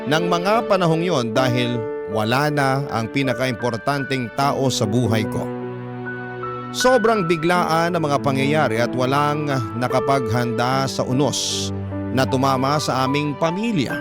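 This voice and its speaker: Male narrator for radio storytelling, medium pitch, scripted dramatic read, very prominent music bed. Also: animated, measured pace. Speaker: narrator reading a listener's story. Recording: loud music under the voice.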